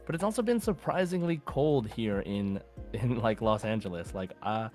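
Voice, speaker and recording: A man's voice: on the low side, animated, normal speed, darkish tone. Casual male podcast host over music. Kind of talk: casual podcast chat about a video game.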